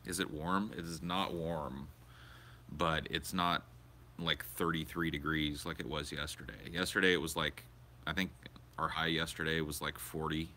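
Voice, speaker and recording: Young guy streaming casually, very low voice, crude rambling, faint noise behind. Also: steady tone, moderate pace, pushed and bright. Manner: rambling, crude, answers chat questions aloud. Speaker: livestream host. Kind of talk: casual livestream chat with viewers. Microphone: portable camera or phone carried around.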